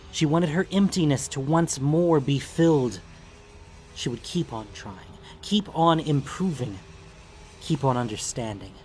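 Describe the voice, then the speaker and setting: A man reads a dramatic fantasy tale as a solemn narrator. His pitch sits in the middle of the male range and his phrasing is deliberate. Music plays underneath his voice. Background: background music.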